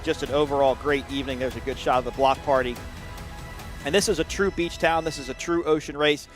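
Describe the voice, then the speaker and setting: A man calls an offshore race from an indoor broadcast booth, rattling along at a brisk clip. His delivery is upbeat play-by-play, with faint music underneath. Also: medium pitch, fairly even intonation. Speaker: race commentator. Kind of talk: live sports commentary.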